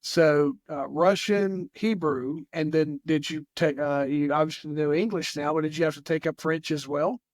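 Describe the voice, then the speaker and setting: Male host, Southwest Virginia accent, higher voice, dry sound, loose rambling delivery. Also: fairly even intonation, normal speed, hardly any pauses, no echo. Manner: rambling, many fillers and restarts. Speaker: podcast host. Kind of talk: conversational interview.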